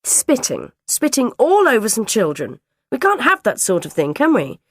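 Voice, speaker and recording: A woman putting on a shrill, bossy dinner lady. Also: huge pitch swings, normal speed, dry recording, studio quality, heavily acted. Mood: scolding. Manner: shrill, bossy, self-righteous. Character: stern scolding older female dinner lady.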